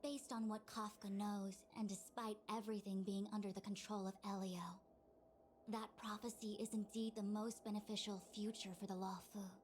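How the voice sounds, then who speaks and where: A woman playing a composed, dutiful master diviner in a video game, speaking in a formal, measured and precise way. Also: mid-range voice, flat delivery, slight background noise, natural voice. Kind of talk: scripted video game dialogue.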